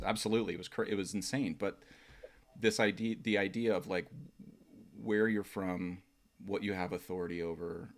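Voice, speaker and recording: A middle-aged man hosting a casual interview, rambling through his questions with restarts and chuckles. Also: deep voice. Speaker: host and steel drummer.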